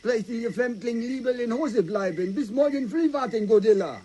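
A terse, stilted Li Feng. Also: male voice, moderate pace, dark tone, husky. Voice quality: clipped broken phrasing, very high voice. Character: Chinese man Li Feng, terse, stilted speech. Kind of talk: 1990 radio play satire.

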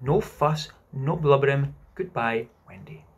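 A cocky, stubborn boy who answers with proud defiance and brisk dismissals, as a man reading aloud puts him on. The voice stays husky and close to the reader's own. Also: mid-range voice, pitched above the performer's own voice.

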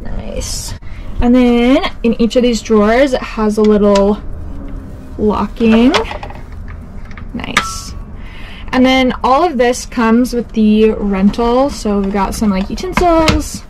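A woman's voice: fairly high pitch, takes it slowly, a little mellow, a little hiss or hum, poor audio. A young woman giving an upbeat, giggly tour inside a camper van, talking to a handheld camera. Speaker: vlogger. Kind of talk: casual van tour vlog. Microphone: handheld camera, close then walking around.

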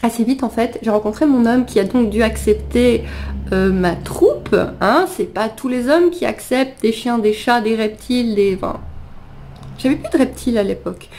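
A woman's voice: fairly high pitch, normal speed, a little mellow, slight background noise. A woman in her thirties with a slight cold, chattily telling personal stories to camera and often interrupting herself. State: slightly ill with sniffles. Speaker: animal vlogger. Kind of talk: casual personal storytelling to camera. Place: living room at home, indoors.

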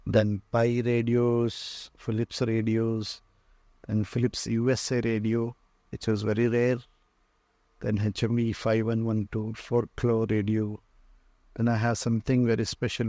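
A man with an Indian accent giving an unscripted museum tour in halting, short phrases, pausing often. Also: fairly low pitch, dark tone, no echo. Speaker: museum owner and radio restorer giving a tour. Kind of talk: unscripted walk-through tour commentary. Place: indoor room lined with shelves of old radios.

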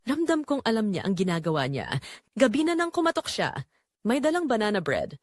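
Woman narrator with lively, swooping pitch. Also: brisk, no room sound, studio quality. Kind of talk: scripted first-person audio drama narration.

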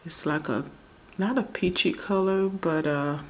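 A woman casually talking through a home nail tutorial, relaxed and chatty, often searching for words. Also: lowish voice, a little slow. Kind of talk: casual how-to narration. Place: home table, indoors.

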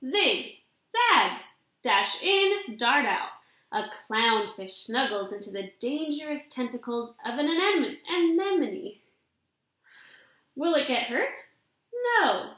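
Young lady reading a kids book out loud, takes it slow, echoey room at home. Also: sits high, very animated. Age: young adult. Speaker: read-aloud storyteller. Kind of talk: reading a children's picture book aloud. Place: small indoor room at home. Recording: roomy, echoing home webcam recording.

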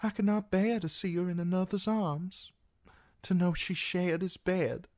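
Cracked, whispery, high-pitched broken singer. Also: male voice, steady tone, darkish tone. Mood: mournful, pleading. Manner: halting, mournful pleading. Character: broken, blinded young male singer, pleading pitifully.

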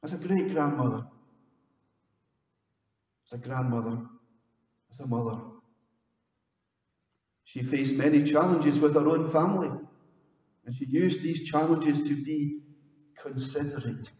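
Older male minister, measured sermon, long reverb from a distant-sounding church hall. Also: fairly high pitch, a little mellow. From Scotland. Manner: slow, solemn preaching with measured pauses. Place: large stone church. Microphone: headset mic. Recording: echoey church hall from afar.

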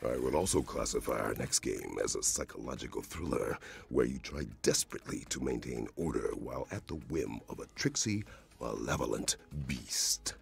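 An actor playing a theatrical, wry showcase announcer reading scripted links. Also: male voice, extremely low pitch, lively intonation, normal speed, creaky, exaggerated voice. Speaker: showcase presenter.

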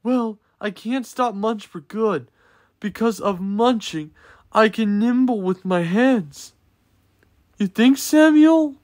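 A woman's voice: fairly high pitch, animated, slow, a little mellow. A woman amateur voice actor playing an earnest, dramatic, easily startled cartoon character. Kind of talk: performed character voice lines.

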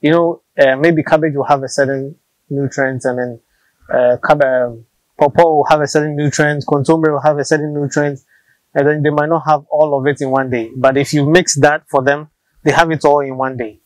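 A Ghanaian man in his thirties talks through how he runs his farm, patient and practical, doubling back on words as he goes. His voice sits a little high for a man. The sound is close and dry from a lapel mic. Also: steady tone, brisk, dry recording, rough recording. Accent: Ghanaian English. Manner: patient, practical, repeats words while explaining. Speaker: snail farmer. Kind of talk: explaining farm practice in an interview. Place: open-sided farm shelter outdoors.

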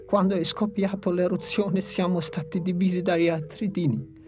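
Woman voicing a calm old dinosaur inventor. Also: fairly low pitch, normal speed, music in the background, lightly acted. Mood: gentle. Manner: calm, reasoning, gentle.

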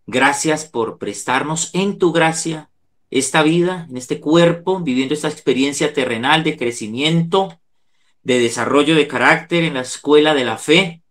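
Earnest Colombian man, slightly high voice. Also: moderate pace, fairly bright tone. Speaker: psychologist giving a talk. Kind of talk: devotional talk with prayer. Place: indoor room, on a video call. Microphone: video call microphone.

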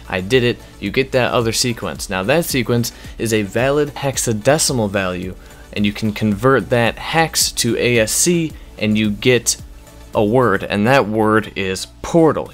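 A man giving informal, conversational gaming news commentary as a YouTuber, explaining things with emphatic bursts. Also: fairly low pitch. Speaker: video game news YouTuber. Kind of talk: casual gaming news commentary over gameplay. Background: background music under the voice-over.

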